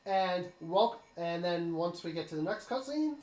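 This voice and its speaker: A man's voice: high voice, animated, measured pace, a little mellow. A man hosting a casual game playthrough, explaining things directly to the viewers as he comments. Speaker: host of a game cutscene playthrough. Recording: roomy echo, music behind. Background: game music.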